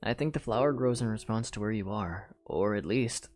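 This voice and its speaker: An actor playing a quiet, earnest young knight who speaks softly and sincerely. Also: male voice, lowish voice, animated, normal speed, natural voice. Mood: gentle. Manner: soft-spoken, sincere, plain phrasing. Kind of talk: fan audio drama. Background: music.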